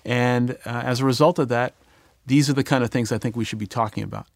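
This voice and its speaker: A man's voice: medium pitch, steady tone, fast talker, gravelly, no room sound, studio quality. A middle-aged man speaking earnestly as an interview guest, with some fillers. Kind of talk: reflective conversation.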